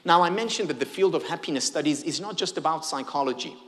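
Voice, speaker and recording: A man's voice: fairly high pitch, fast talker, a bit bright, in a large hall. A man whose first language is Hebrew gives a conference keynote, engaging the audience with questions and humor. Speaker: keynote speaker.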